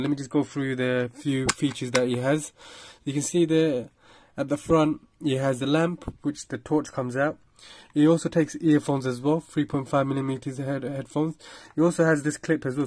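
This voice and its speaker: A man's voice: medium pitch, darkish tone. A man giving a casual product review in a plain-spoken, slightly hesitant explaining manner.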